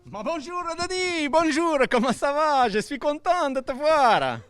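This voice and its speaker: A man's voice: extremely high pitch, very animated, measured pace, a bit bright, no room sound, voice pushed up, heavily acted. A man voicing a cheerful, boastful male puppet chef who stammers and speaks with a put-on Italian accent, outdoors by the sea. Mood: cheery. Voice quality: stammering, bubbly. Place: outdoors by the seafront.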